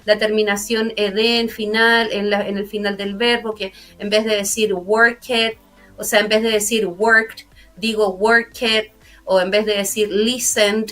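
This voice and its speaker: A woman's voice: on the high side, a bit bright. A woman English teacher with a Chilean accent, explaining language learning in an earnest, step-by-step way during a studio interview. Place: broadcast studio.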